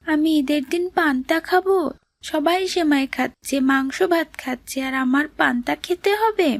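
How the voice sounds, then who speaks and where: A woman's voice: sits high, moderate pace, no echo. A woman voicing a hungry little boy in a cartoon drama, childlike, insistent and whiny. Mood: whiny, demanding. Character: hungry little brother, whiny, stubborn, tender-hearted.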